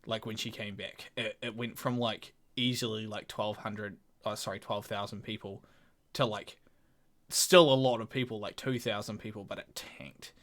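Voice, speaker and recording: A young man, co-hosting a podcast in animated, sweary casual chat. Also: lowish voice, normal speed. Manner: animated, swears, mock voices. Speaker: podcast co-host.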